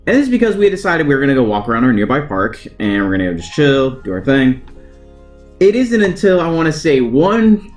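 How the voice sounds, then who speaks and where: A man's voice: medium pitch, sing-song, fairly quick. A young adult American man telling personal stories in a casual, chatty, self-deprecating and joking way. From United States. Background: guitar music.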